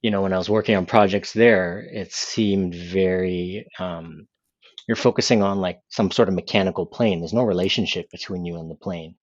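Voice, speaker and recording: A man's voice: sits low, steady tone, normal speed, no echo, muffled recording. A man from Canada, a podcast guest, explaining his views thoughtfully and at length. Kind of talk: casual conversation.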